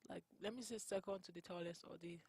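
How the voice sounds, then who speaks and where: A young woman from Nigeria with a Nigerian accent, whose first language is Igbo, answering interview questions in an earnest, unhurried way and laughing often. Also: fairly low pitch, steady tone, a little mellow, slightly rough, no room sound. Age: young adult. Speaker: college basketball player.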